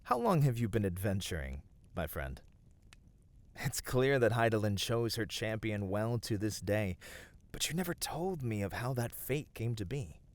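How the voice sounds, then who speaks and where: A man voicing a gentle, noble fantasy adventurer in an ASMR roleplay, speaking soothingly and earnestly in formal, old-fashioned phrasing. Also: lowish voice, very animated, normal speed. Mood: admiring, earnest. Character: gentle, noble, reassuring male fantasy adventurer comforting a weary friend. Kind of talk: ASMR fantasy roleplay, scripted intimate voice acting.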